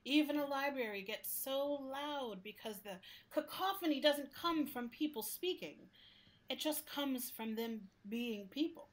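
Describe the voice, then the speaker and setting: A woman playing a talking library building in a radio play, gentle but fussy, with a confiding, exasperated manner. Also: sits high, animated, a little slow. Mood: wistful, fussy. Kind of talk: radio play acting in interview form.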